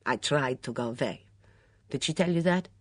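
A reader doing a sullen, dramatic foreign cook. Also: female voice, extremely low pitch, normal speed, dry recording. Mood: sulky. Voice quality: foreign accent, melodramatic, self-pitying.

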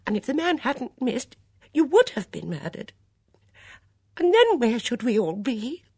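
Audiobook role: excitable, dithering older woman voiced by a female reader, high, breathy, very animated, close dry sound. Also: moderate pace, no echo. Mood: tearful, worried. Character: fluttery, anxious, stubborn older woman companion. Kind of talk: audiobook character dialogue.

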